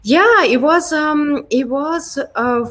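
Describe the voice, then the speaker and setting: A woman's voice: sits high, takes it slowly, fairly bright tone. A woman in her thirties from Ukraine with a Ukrainian accent, answering interview questions casually with many ums and restarts. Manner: hesitant, many fillers and restarts. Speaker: guest, product design lead.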